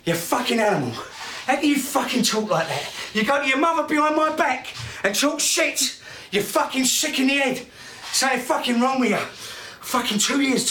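A man's voice: very high-pitched, pushed and bright, slight background noise, exaggerated voice. An actor playing a volatile, menacing middle-aged father in a naturalistic film, aggrieved, repeating himself and swearing. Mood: bitter fury. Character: volatile, menacing father of a troubled teenage son.

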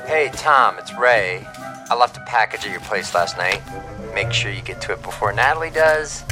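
A man's voice: lowish voice, animated, normal speed, music in the background, natural voice. A man acting a casual, self-satisfied character in a screen drama, speaking in a loose, rambling way. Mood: breezy.

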